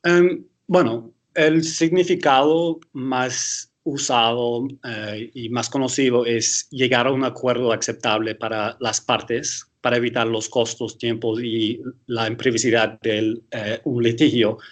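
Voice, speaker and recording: A man's voice: medium pitch, fairly even intonation, a little slow, hardly any pauses. Hesitant American man, speaking Spanish. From United States. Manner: hesitant, self-correcting, with frequent restarts. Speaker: attorney, guest lecturer. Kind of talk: interview answers.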